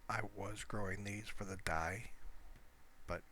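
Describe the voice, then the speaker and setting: A man giving casual, unscripted gameplay commentary in a low-key, rambling, hesitant manner, with trailing pauses. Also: fairly even intonation, bright, forceful tone, a little hiss or hum. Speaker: gamer narrating own gameplay video.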